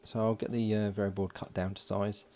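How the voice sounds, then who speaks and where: A man giving relaxed, matter-of-fact running commentary as he solders at a home workbench, recorded by an overhead camera. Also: fairly low pitch, fairly quick, a little hiss or hum. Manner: mutters along while working, relaxed and matter-of-fact. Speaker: electronics hobbyist. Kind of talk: casual build commentary.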